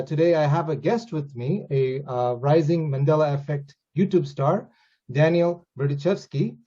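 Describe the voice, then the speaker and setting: Higher-voiced male interviewer, dull recording. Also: mellow. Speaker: interviewer and host. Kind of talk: conversational interview.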